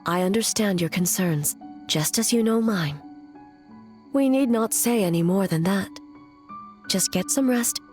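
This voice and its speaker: A woman voicing a solemn, protective female shogun in a video game, formal, grave and measured. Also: mid-range voice, a little mellow, lightly acted. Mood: earnest, grave. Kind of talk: scripted video game voice acting. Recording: music behind the voice.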